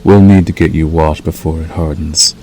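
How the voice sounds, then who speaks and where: A man voicing a possessive werewolf lover in intimate ASMR roleplay, menacing yet tender, coaxing slowly and soothingly. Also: very deep voice, steady tone, slight background noise. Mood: teasing, possessive. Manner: slow, soothing, coaxing with dark undertone.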